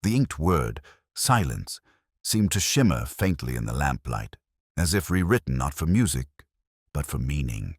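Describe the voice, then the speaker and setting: A man reads a scripted first-person detective story in a measured, literary way with deliberate pauses. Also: very deep voice, very animated, slightly rough, no room sound, studio quality. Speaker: narrator.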